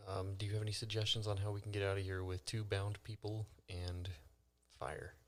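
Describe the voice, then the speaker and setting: A man's voice: fairly low pitch, level tone, brisk. A man playing a tabletop role-playing game, chatting casually in and out of character.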